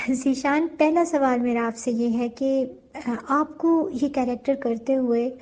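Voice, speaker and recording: A woman's voice: high-pitched, dark tone. A woman, hosting a conversational interview.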